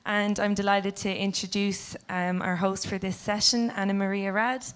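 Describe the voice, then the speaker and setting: A woman introduces a conference session in a polite, formal way, with a steady, flat delivery and a mid-range voice. She pauses on small fillers as she works through her remarks. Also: medium pitch, clear, resonant tone. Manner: polite, scripted, with some filler. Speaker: event team member introducing the host. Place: conference room.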